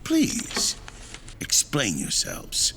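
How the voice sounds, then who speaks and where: Man playing a slow, gravelly fantasy king. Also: mid-range voice, wildly swooping pitch, pushed and bright, a little hiss or hum, heavily acted. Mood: suspicious, indignant. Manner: stately, archaic, deliberate phrasing. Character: stern, formal fantasy king, measured and suspicious. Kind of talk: fantasy audio drama acting.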